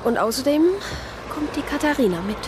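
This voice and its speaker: Wry, high-voiced deaconess narrator. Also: female voice, lively intonation, brisk, noisy background. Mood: dryly assertive. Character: Sister Claudia, wry, spirited deaconess who tells the story. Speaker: narrator and lead character. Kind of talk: radio play narration and dialogue.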